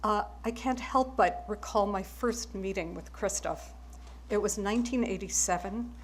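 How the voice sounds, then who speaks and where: A German-born woman with German as her first language, giving a measured, wry acceptance speech. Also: mid-range voice, slight background noise.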